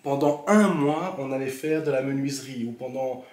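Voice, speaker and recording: A man joining a relaxed conversation, speaking in a measured way about a school he went to. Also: medium pitch. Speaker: former Steiner school pupil. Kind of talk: casual conversation. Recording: echoey room.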